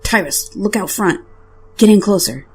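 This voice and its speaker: A woman's voice: mid-range voice, expressive, unhurried, very noisy. A woman playing a bold, grimly determined dragon-riding warrior in an audio drama, giving terse, urgent orders. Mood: urgent, commanding.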